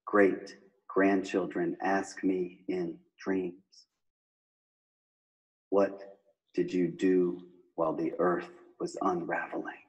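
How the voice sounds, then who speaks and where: Man, spoken-word poet, low voice, unhurried, incantatory, recorded reading. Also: darkish tone, lo-fi sound. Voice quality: measured, incantatory delivery. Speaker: poet reciting his poem. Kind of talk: slow spoken-word poetry recitation.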